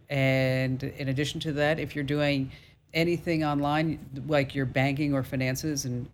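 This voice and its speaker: A woman's voice: rumbling low, darkish tone, a little hiss or hum. A woman hosting a call-in radio show, giving tech advice in a chatty, upbeat and reassuring way with many fillers.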